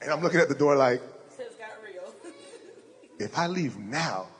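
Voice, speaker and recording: A young adult man with a deep voice, preaching a sermon and telling a personal story in an animated way, acting out the lines he quotes. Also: a little slow, a crowd in the background.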